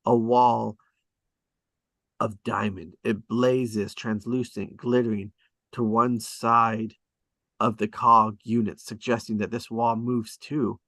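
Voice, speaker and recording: A man narrating screenplay stage directions at a table read in a measured, descriptive way, with short dramatic phrases. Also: medium pitch, flat delivery, fairly bright tone, no room sound.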